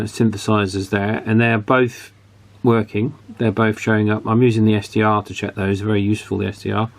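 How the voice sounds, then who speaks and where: A man with a somewhat low voice talks through a repair at his workbench. He thinks aloud in an easy, casual way, breaking off and restarting his sentences. He sounds like he is speaking into a camera mic in a home workshop. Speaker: radio repair hobbyist.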